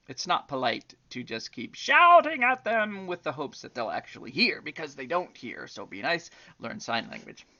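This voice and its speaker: Woman storyteller with animated, swooping delivery. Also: low-pitched, highs cut off. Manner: drawn-out, theatrical emphasis with repeated words. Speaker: storybook reader. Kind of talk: expressive storytelling with chatty asides to young listeners.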